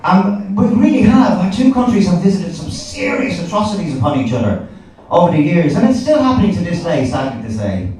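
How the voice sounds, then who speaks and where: A man's voice: high-pitched, very animated, fairly quick, a little mellow. An Irish man performing live stand-up comedy in a conversational, sweary storytelling manner. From Ireland. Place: indoor live comedy gig. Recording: echoey, noisy live club recording from a distance.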